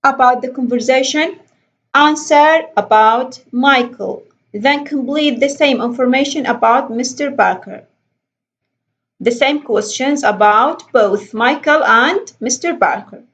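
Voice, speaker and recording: A woman teaching an English lesson, explaining slowly and deliberately and reading questions aloud. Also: sits high, a bit bright. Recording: dull lo-fi voice recording.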